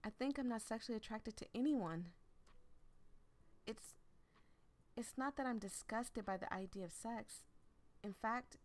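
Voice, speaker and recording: A woman's voice: a little high, a little slow, long pauses, pitched above the performer's own voice, close to an everyday voice. A woman voicing a nervous, earnest young woman on a date in a visual novel, with a hesitant delivery. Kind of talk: visual novel read aloud.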